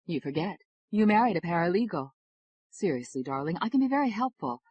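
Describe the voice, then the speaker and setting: A woman's voice: fairly low pitch, big pitch swings, fairly quick, a little mellow, slightly rough, no room sound, muffled recording, pitched above the performer's own voice. A woman voicing a playful, supportive young wife who teases affectionately. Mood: teasing.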